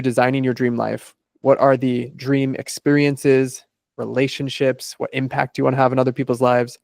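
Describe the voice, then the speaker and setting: A man's voice: mid-range voice, no echo, clean studio sound. A man, a life coach guest on a podcast interview, methodically laying out his process in numbered steps.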